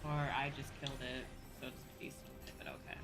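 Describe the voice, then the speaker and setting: A woman's voice: rumbling low, little pitch movement, a little fast. A woman livestreamer talking casually and informally to her viewers while she plays, with some casual swearing. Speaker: livestreamer playing online games and chatting with viewers. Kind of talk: casual gaming stream chat. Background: game music.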